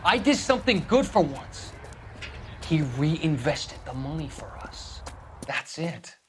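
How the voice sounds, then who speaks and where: An actor in a video game playing an earnest, naive war veteran who pleads haltingly with his old comrade. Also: a little high, very animated, a little hiss or hum. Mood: pleading, defensive. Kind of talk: dramatic video game acting.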